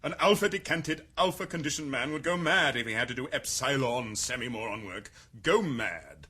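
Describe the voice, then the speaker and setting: An actor with a British accent playing an urbane, powerful male world controller who speaks in a measured, wry, condescending way, in a radio drama. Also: mid-range voice, lively intonation, sharp tone, breathy edge. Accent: British English.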